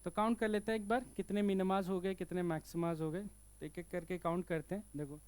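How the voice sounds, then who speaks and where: A man's voice: sits high, flat delivery, fast, a little mellow, clean tone, no echo. A man with an Indian accent teaching physics, working through a problem step by step and often checking in with the listener. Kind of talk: explanatory problem-solving lesson.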